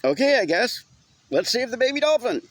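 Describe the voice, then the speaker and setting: A man's voice: extremely high pitch, very animated, brisk, fairly bright tone, dry recording, pitched above the performer's own voice, exaggerated voice. A man voicing a flustered, reluctant father in an audiobook memoir, exasperated and abrupt but caring. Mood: resolved. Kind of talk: acted dialogue in an audiobook memoir.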